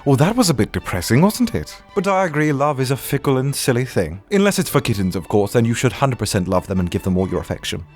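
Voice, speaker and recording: A man's voice: mid-range voice, big pitch swings, fast talker, few pauses, soft background music, lightly acted. A man voicing a haughty, sardonic butler who condescendingly roasts submissions in a theatrical, pompous way. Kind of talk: theatrical comic roast reading.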